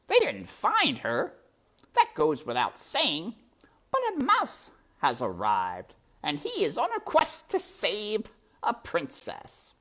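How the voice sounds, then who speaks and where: A woman's voice: medium pitch, wildly swooping pitch, fairly bright tone, pitched above the performer's own voice, exaggerated voice. A woman voicing a sly, scheming male rat villain who mocks in a silky, theatrical way. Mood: sly mocking. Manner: silky, mocking, drawn-out and theatrical.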